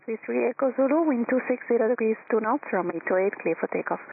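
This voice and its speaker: A tinny radio transmission with faint static carries a woman controller's voice. She rattles off clearances fast, in clipped, procedural phrases, and stays courteous. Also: fairly high pitch, animated. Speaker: airport tower air traffic controller. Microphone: air traffic radio.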